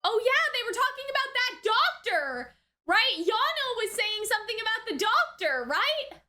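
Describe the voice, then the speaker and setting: Really high bright voiced woman doing reaction commentary, slangy, chatty, thinks out loud. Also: moderate pace. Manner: expressive, exclamatory, slangy. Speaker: reaction video host. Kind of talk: casual, unscripted reaction commentary.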